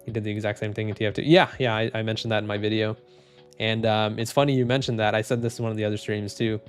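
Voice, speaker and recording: Laid-back low-voiced male streamer. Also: soft background music. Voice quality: low, even, unhurried voice. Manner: laid-back, rambling, many fillers and restarts. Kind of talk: casual livestream chat reading comments.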